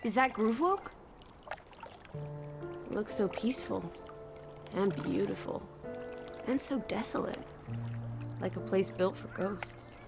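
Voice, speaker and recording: A woman's voice: mid-range voice, slow, creaky, pauses often, music in the background. An actress playing a playful, breezy young woman companion from the 1920s in a video game, full of period slang. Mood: wistful.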